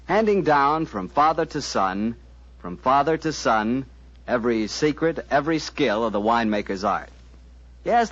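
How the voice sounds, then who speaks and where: An American man hosting and announcing a 1940s radio show, chummy and folksy with a salesman's delivery. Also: mid-range voice. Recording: muffled 1940s radio recording.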